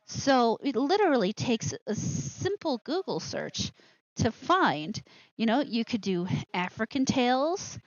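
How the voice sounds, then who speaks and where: A woman's voice: a little high, very animated, unhurried, no echo, muffled recording. A woman hosting a live show with casual commentary, rambling and interrupting herself with asides.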